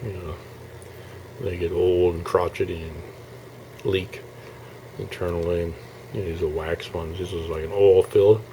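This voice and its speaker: A man giving a casual, halting walkthrough as a hobbyist showing his restoration work, thinking aloud as he goes. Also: sits low, little pitch movement, dark tone, a little hiss or hum, poor audio. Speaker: hobbyist radio restorer presenting his project. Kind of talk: casual informal video walkthrough.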